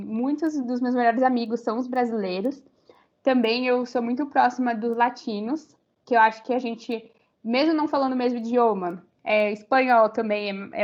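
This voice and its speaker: A young Brazilian woman with a Brazilian Portuguese accent, a university student chatting as a podcast guest, full of fillers and restarts. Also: on the high side. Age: young adult. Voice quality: many fillers, rambling restarts. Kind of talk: casual interview chat. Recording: slightly muffled audio.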